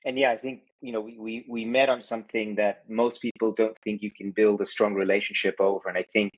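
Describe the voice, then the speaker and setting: A man from Kenya talks through his background as a podcast guest, his voice sitting on the low side. He speaks in a thoughtful, winding way, dotting his sentences with "you know". The sound is that of a video call. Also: level tone, a little fast, poor audio. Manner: thoughtful, meandering, many "you know" fillers. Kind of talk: reflective conversational storytelling.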